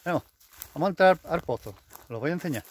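Rambling Spaniard on a country walk. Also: male voice, a little high, animated, quick pace, a little hiss or hum. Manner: chatty, rambling, self-correcting, mild swearing. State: walking downhill over stones. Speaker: outdoor vlogger. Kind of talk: casual walking vlog. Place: outdoors in rural fields. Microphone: handheld camera on selfie stick.

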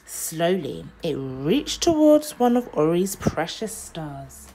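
A young woman narrating a children's bedtime picture book aloud, with deliberate, dramatic phrasing. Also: on the low side, sing-song, dark tone, slightly rough, rough recording.

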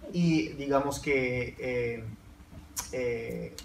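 A man's voice: mid-range voice, drawn-out words, gravelly. A man with a Peruvian accent answering questions on a university panel, thoughtful, with frequent restarts and fillers. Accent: Peruvian Spanish. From Peru. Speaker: panel guest writer. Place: university lecture room, seated at panel table. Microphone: table stand mic heard from far camera. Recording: roomy, distant, faint hiss.

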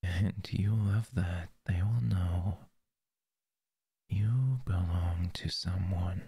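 A possessive, jealous lover, played by a man in an audio roleplay, speaking very slowly and intimately close to the microphone. His low voice is gravelly and very breathy, and he often drops into a whisper. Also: warm tone, no room sound, heavily acted. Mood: teasing.

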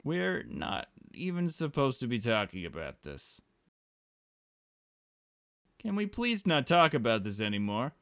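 A man's voice: mid-range voice, sing-song, a little slow, pauses often, fairly bright tone, no echo, clean studio sound. A man voicing a flippant, evasive teenage schoolgirl from a visual novel, with breezy, exasperated teen talk. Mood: evasive, reassuring. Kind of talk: visual novel character read aloud. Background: game music.

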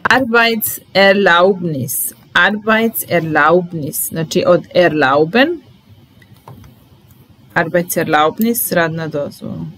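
Slow, calm young woman teaching German. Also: lowish voice, poor audio. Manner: calm, deliberate, repeats each word. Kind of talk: slow vocabulary drill, Serbian to German. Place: small indoor room at a desk.

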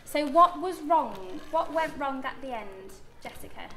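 British lady teaching little kids, high voice, lots of simple questions, roomy echo. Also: animated, unhurried, bright, forceful tone, slight background noise. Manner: simple step-by-step explaining with frequent questions. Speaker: primary school teacher. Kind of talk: interactive classroom lesson with young children. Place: indoor classroom with wooden floor. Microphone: camera a short distance away. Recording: echoey classroom, picked up at a distance.

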